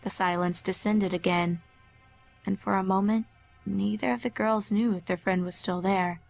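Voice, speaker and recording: A woman's voice: medium pitch, fairly even intonation, normal speed, gravelly, a little mellow. Woman narrating a horror story over faint music.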